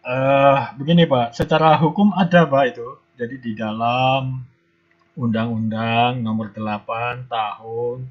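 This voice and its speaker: A hoarse man giving an online university lecture, pausing often with fillers, heard over a video call. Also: medium pitch, fairly even intonation. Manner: hesitant, many filler pauses. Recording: dull lo-fi video call audio.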